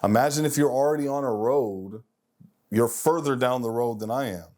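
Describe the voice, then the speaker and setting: A man's voice: medium pitch, moderate pace, darkish tone. A man of forty hosting a self-improvement show, speaking emphatically in a motivational monologue and repeating phrases for effect.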